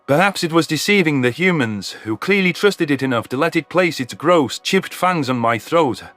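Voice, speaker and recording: A man's voice: fairly high pitch, lively intonation, normal speed, fairly bright tone, no room sound, soft background music. A man voicing a bitter, hateful alien veteran in a dramatic, vehement telling of a sci-fi story. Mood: bitter, tense.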